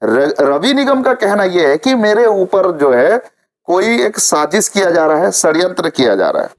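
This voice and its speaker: A man's voice: sits high, sing-song, unhurried. A man presenting informal news commentary to viewers, repeating phrases for emphasis.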